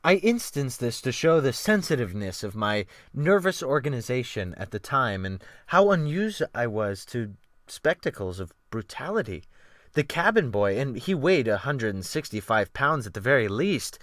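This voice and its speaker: A man's voice: mid-range voice, very animated, moderate pace, a bit bright, no echo, clean studio sound. A man narrating a novel as a dramatic, scripted audiobook reading.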